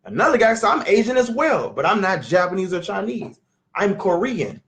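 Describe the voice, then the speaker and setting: A man, teaching scripture in an animated, questioning way at a family sit-down. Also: high voice. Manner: emphatic, questioning, drawn-out teaching. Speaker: Bible teacher. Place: living room at a family sit-down.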